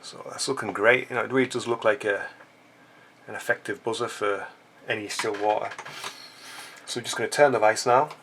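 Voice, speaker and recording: A man's voice: lowish voice, fairly even intonation, a little fast, pauses often, a bit bright, slight background noise. A man with a stuffy, blocked-up nose, chatting casually and joking as he walks through a fly-tying tutorial. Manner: chatty, joking asides while working. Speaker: fly-tying channel host.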